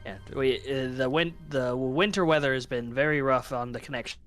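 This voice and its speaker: Man, game player, medium pitch, casual chat, online voice call, background music. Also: fairly even intonation, moderate pace, a bit bright. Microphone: remote online voice call.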